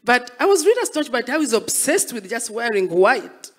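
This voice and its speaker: A woman's voice: fairly high pitch, big pitch swings, brisk. A woman speaking reflectively in an interview as an artist, telling stories and repeating phrases for emphasis. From Rwandan, born and raised as a refugee abroad. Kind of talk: reflective spoken interview, storytelling.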